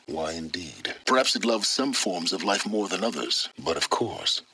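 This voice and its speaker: Deep arrogant older scholar for a video game, formal and condescending, really dry close recording. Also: male voice, animated, bright, forceful tone, breathy edge, no echo, heavily acted, low-quality recording. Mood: measured, instructive. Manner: measured, formal, condescending, cerebral. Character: aloof, arrogant older male scholar of lost ancient technology. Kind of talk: video game voice acting, dramatic dialogue.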